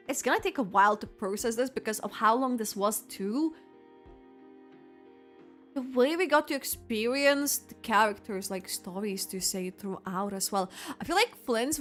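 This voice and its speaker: A woman's voice: on the high side, fairly bright tone. A woman streaming a video game, giving casual reaction commentary in a rambling way, often trailing off mid-thought.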